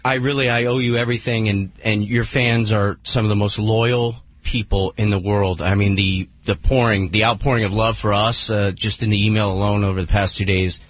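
A man's voice: on the low side, steady tone, a little fast, few pauses, dry recording. A man speaking casually on air as a radio show's call screener. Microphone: studio microphone. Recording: dull lo-fi radio broadcast.

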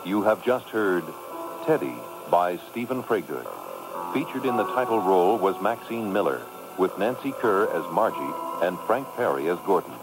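A man's voice: deep voice, lively intonation, moderate pace, music in the background. A man reading scripted credits as a radio announcer. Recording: noisy AM radio cassette.

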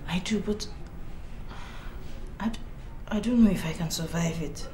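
An actress playing an anxious young wife who talks hesitantly and stammers out of fear. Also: female voice, on the low side, measured pace, mellow, reverberant, a little hiss or hum, lightly acted. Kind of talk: film drama acting.

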